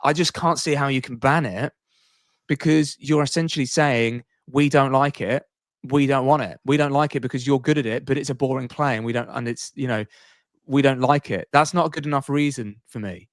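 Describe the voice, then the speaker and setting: A man's voice: mid-range voice, brisk, no room sound, studio quality. A man co-hosting a podcast, casually debating sport and repeating himself to make his point. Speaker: podcast co-host.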